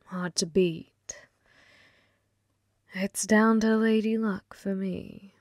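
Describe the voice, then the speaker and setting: A woman voicing a flirty, possessive female demon gambler in an ASMR roleplay, breathy and often whispering, teasing with sweet menace. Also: mid-range voice, steady tone, darkish tone, slight background noise. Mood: smug, flirty. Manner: slow, teasing, calls listener love. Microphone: close microphone, dry.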